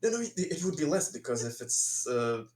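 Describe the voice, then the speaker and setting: A man's voice: fairly high pitch, very animated, normal speed, over a noisy crowd. Tentative man in a very echoey room. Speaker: tabletop game player. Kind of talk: casual chat about game rules. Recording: echoey, distant from the mic.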